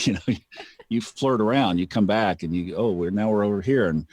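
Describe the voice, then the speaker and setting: A man's voice: fairly low pitch, brisk, a little mellow, dry recording. A man hosting a podcast, talking in a reflective, storytelling way and repeating phrases for emphasis. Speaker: podcast host. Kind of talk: casual conversational chat.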